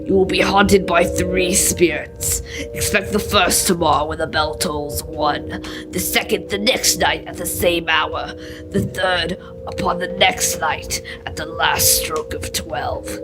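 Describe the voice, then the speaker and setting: A young woman plays a dead businessman's doomed ghost in a radio play. The delivery is very slow, solemn and drawn out, full of woe, with clear music behind it. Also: lowish voice, fairly even intonation, close to an everyday voice. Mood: warning. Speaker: student actor. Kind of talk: old-time radio drama acting.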